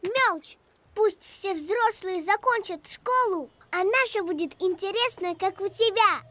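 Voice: very high voice, measured pace, noisy background. A performer voicing a small, earnest, imaginative schoolgirl in a children's cartoon, speaking in a pleading manner.

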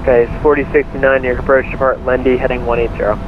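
A man's voice: medium pitch, little pitch movement, quick pace. A man working as an air traffic controller, giving clipped procedural instructions over the radio. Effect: radio transmission. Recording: muffled narrowband radio, cockpit drone noise.

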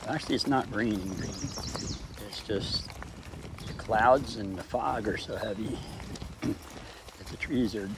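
A man's voice: lowish voice, takes it slowly, long pauses, noisy background. A man thru-hiking and vlogging casually as he walks a forest trail, speaking plainly with dry humor and thinking aloud. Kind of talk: casual vlog narration while hiking. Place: foggy forest trail outdoors. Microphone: body-mounted camera.

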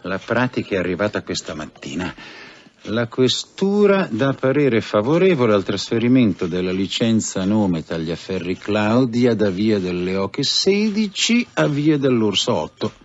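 Low-voiced, deferential police brigadier. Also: male voice, big pitch swings, normal speed. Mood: deferential, hesitant. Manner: hesitant, deferential, bureaucratic. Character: deferential, procedural male police brigadier explaining paperwork. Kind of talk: Italian radio drama acting. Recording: dull sound with faint background noise.

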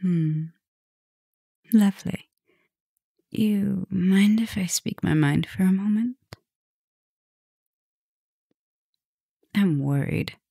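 A woman playing an affectionate, teasing, dominant vampire professor in a soft, intimate ASMR roleplay. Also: medium pitch, slow pace, gravelly, slightly rough, no echo, studio quality, full character voice. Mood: worried, confiding.

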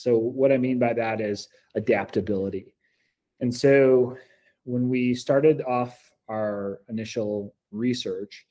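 An American man in his forties giving earnest, measured testimonial answers as a school coordinator, on a video call from an office meeting room. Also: mid-range voice, mellow. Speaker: school district program coordinator. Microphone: laptop video call.

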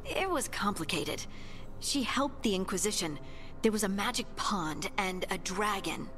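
An actress playing a friendly, candid young scout in a video game, talking informally and with animation. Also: female voice, medium pitch, expressive, measured pace, a bit bright, faint music behind. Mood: earnest recounting. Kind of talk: game party dialogue.

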